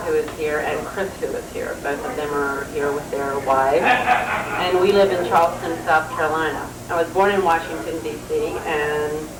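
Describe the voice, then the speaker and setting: A woman in her late thirties chattily introducing herself and her family, laughing easily. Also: low voice. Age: 30s. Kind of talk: casual recorded family introductions. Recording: echoey room, mic far off, noisy.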